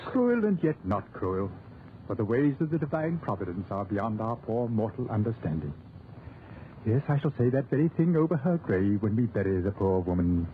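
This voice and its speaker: A tinny old radio recording with light hiss, of a pious village reverend. His delivery is theatrical, sighing and musing, with animated pitch in 1940s radio style. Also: normal speed. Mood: pious sorrow. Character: sanctimonious, musing village clergyman, mildly chatty.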